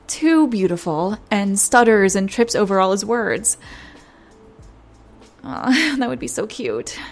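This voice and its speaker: Woman acting flustered young pop star, slow and halting. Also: mid-range voice. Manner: flustered, halting, self-interrupting, breathy sighs. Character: nervous young female pop star, giddy and lovesick. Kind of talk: intimate scripted audio roleplay.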